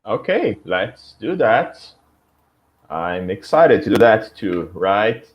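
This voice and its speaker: A Brazilian man with a Portuguese accent reads English vocabulary slowly and haltingly. His low voice rises and falls widely, and the call audio sounds dull and lo-fi. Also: sing-song. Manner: hesitant, reads aloud haltingly. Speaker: student.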